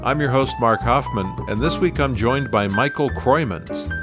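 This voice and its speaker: A man's voice: medium pitch, normal speed, music in the background. A man hosting a podcast, speaking in an interview and introduction style. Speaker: podcast host.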